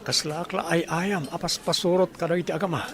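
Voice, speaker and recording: A man's voice: fairly high pitch, fairly even intonation, quick pace, a bit bright. A man with an Ilocano accent playing a troubled, confiding father in a radio drama. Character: worried father Jojo.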